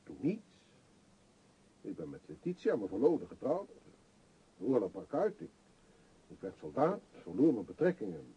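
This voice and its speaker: A man's voice: lowish voice, very animated, normal speed, pauses often, warm tone, breathy edge, full character voice. An actor in a radio play voicing a respectable middle-aged male shopkeeper, formal, a little hesitant and easily affronted. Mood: resigned. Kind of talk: radio drama acting. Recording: dull old radio play recording.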